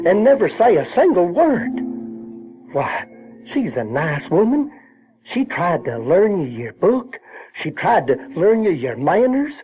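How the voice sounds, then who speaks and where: Animated folksy Southern boy narrator, Huckleberry Finn. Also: high voice. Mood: troubled, guilty. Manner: halting, repeats words, rustic grammar. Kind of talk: folksy first-person audio drama narration. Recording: dull vintage radio-drama recording, music behind.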